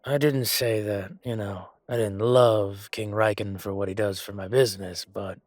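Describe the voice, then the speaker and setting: A man's voice: fairly low pitch, steady tone, moderate pace, a little mellow, no room sound, studio quality, lightly acted. A man voicing a chatty, self-satisfied merchant in a fantasy audio roleplay, with a casual, drawling, hesitant way of talking. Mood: grumbling. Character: male merchant, chatty, self-satisfied, grumbling royal supplier.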